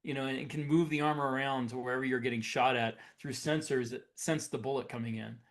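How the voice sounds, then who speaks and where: A man co-hosting a podcast, explaining things in a casual, measured and somewhat halting way as he discusses technology. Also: medium pitch, steady tone. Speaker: podcast co-host. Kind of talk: casual speculative tech discussion.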